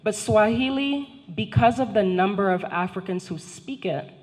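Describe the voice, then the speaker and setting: A woman's voice: mid-range voice, normal speed, a little mellow. A middle-aged woman whose first language is not English, giving a formal prepared lecture from a panel table into a handheld microphone, speaking directly to the audience. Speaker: guest speaker, professor. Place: large indoor function hall, seated at a panel table. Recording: long hall reverb.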